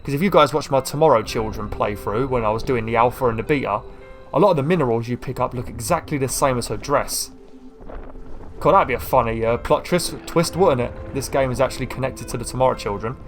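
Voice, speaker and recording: A man with a croaky voice, giving rambling casual commentary while playing a video game. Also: medium pitch, a little fast, faint music behind. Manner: rambling, chatty, self-deprecating. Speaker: gaming YouTuber.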